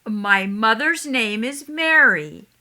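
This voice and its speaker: A woman playing a cheeky, mysterious young street boy in a stage play, speaking in a playful, knowing, unhurried way. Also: fairly high pitch, lively intonation, bright, forceful tone, full character voice. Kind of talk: theatrical stage-play acting.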